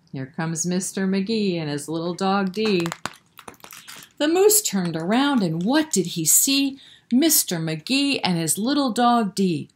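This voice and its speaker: A woman reading a picture book aloud to children in an expressive way, pausing to explain and prompt her young listeners. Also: lowish voice. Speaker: children's storyteller at a library.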